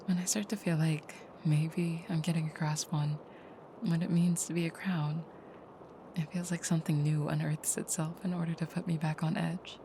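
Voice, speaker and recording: A woman playing a tender, soft-spoken vampire girlfriend in an intimate audio roleplay, with a breathy near-whisper and gentle, slightly formal phrasing. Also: sits low, little pitch movement, normal speed, a little hiss or hum. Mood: anxious, self-doubting.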